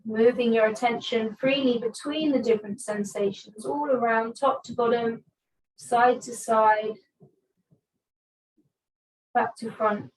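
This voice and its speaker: A woman teaching restorative yoga, giving calm, soothing guidance with long pauses between phrases. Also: a little high, low-quality recording. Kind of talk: guided restorative yoga instruction.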